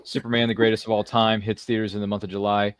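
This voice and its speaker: A man's voice: fairly low pitch, little pitch movement, fast, clean tone, no echo. A man hosting a casual live interview show, reading viewer comments and throwing in slangy, theatrical, joking asides. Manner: jokey, slangy, theatrical asides to people not present.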